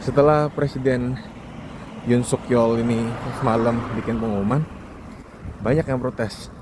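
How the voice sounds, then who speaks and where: A man's voice: medium pitch, unhurried. Young Indonesian man vlogging over street noise. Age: young adult. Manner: informal, conversational, addresses the audience with questions. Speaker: vlogger, foreign student. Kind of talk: casual monologue commentary to viewers.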